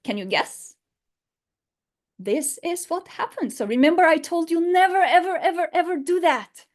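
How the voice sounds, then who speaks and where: A woman's voice: extremely high pitch, lively intonation, moderate pace. A woman teaching an unscripted online lesson in English, her fourth language, explaining emphatically with rhetorical questions. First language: not English.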